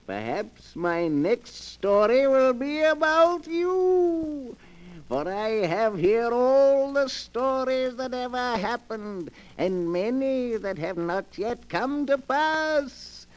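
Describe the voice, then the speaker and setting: An actor playing a sinister, cackling old keeper of a book of horror tales, theatrical and gleefully menacing in 1940s radio style. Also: male voice, extremely high pitch, sing-song, slow, heavily acted. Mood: taunting, menacing. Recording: noisy 1940s radio recording.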